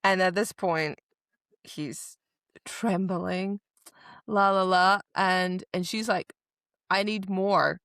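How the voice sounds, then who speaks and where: A woman's voice: on the low side, fairly even intonation, slow pace, pauses often, fairly bright tone, clean tone, no echo. A woman podcast co-host casually retelling book scenes, slipping into quoted dialogue and repeating words for emphasis. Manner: chatty, acts out quoted dialogue.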